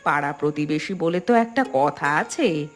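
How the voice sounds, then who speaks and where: Woman as landlord's wife in a cartoon, kindly, gently reproving, light music behind. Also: low voice, lively intonation, normal speed. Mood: reproachful. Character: kind-hearted, reproachful wife of a rich landlord. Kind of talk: scripted cartoon dialogue.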